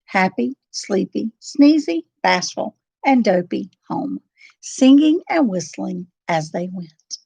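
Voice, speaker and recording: Slow, animated woman storyteller. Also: medium pitch, creaky, breathy edge, no room sound. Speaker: children's storyteller. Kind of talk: expressive scripted storybook narration.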